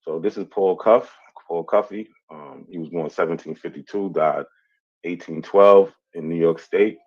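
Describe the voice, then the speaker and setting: A man talking casually through his family records, hesitant and pausing often on fillers. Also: sits low, muffled recording. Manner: hesitant, many filler pauses. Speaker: amateur genealogist. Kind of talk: casual explanatory talk.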